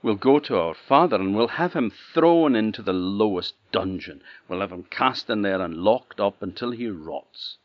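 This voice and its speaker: A man's voice: fairly low pitch, expressive, moderate pace, few pauses, no room sound, muffled recording. A man voicing an indignant, bossy eldest princess in a fairy tale. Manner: indignant, forceful.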